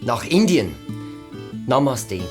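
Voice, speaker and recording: A woman playing a hesitant boy detective with halting speech in a German children's audio drama. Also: a bass voice, sing-song, music in the background, exaggerated voice. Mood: formal, reading aloud.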